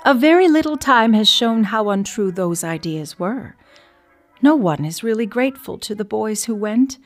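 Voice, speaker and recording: A woman doing a dramatic letter reading as an outspoken, disillusioned, educated woman of the early twentieth century, measured and earnest. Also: mid-range voice, expressive, faint music behind, lightly acted. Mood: disillusioned, reflective.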